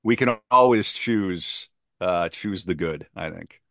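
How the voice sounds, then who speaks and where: A man's voice: low voice, slow pace, vocal fry, fairly bright tone, no room sound, clean studio sound. A man chatting casually on a podcast, emphatic and given to repeating his phrases for effect.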